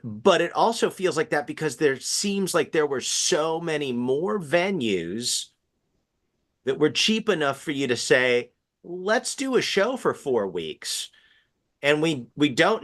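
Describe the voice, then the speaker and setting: A man with a lively, swinging intonation talks in a casual discussion. His voice is higher or lower as he goes, reflective and halting, with frequent restarts. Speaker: theatre panel participant.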